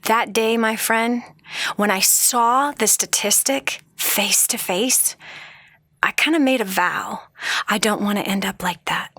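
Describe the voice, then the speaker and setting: Bright-voiced woman host, earnest. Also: mid-range voice, slow pace, dry recording. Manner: earnest and emphatic, with rhetorical questions to the listener; frequent restarts and repeated words. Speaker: radio show host. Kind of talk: motivational talk show monologue.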